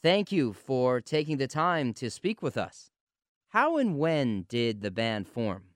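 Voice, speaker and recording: A man's voice: medium pitch, very animated, takes it slowly, dry recording, studio quality. A man hosting a music radio interview, putting prepared questions in a polite, courteous manner.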